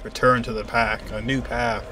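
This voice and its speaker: A man's voice: medium pitch, level tone, normal speed, noisy background. A man in his twenties giving casual live commentary as he reacts to game cutscenes. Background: game soundtrack music.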